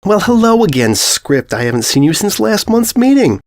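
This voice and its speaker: A man's voice: sits high, big pitch swings, normal speed, no echo, studio quality, voice pushed up. A man voicing a cheerful, teasing middle-aged pony mother who is doting, blunt and effusive in a storybook reading. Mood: cheerful, chatty. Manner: effusive, coaxing, uses endearments.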